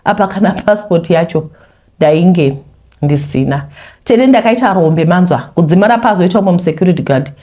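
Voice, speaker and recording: A woman from Zimbabwe, a host who chats animatedly with viewers and then reads a confession aloud. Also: normal speed. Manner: animated, talks straight to viewers, voice leaps high when scolding. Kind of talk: chatty intro, then reading a confession aloud.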